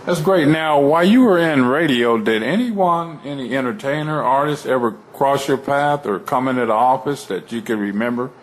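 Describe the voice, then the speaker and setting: A man hosting a podcast interview, with a mid-range voice. He keeps the conversation moving with friendly prompts and quick "is that right?" responses. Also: medium pitch, slight background noise. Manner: encouraging, quick affirmations and laughs. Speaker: podcast host.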